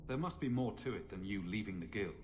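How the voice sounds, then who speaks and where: Lowish, wary fantasy game hero played by a man. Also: expressive, quick pace, a little hiss or hum, close to an everyday voice. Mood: skeptical, dry. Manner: matter-of-fact, skeptical. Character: wary, plain-spoken male champion hero of a fantasy game. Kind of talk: video game character acting.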